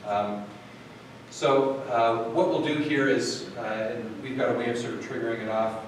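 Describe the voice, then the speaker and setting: A man's voice: medium pitch, fairly even intonation, normal speed. A man giving a live product demonstration, rambling with many fillers and restarts. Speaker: product demo presenter. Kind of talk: live tech demo, casual. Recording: echoey hall from far off, noisy.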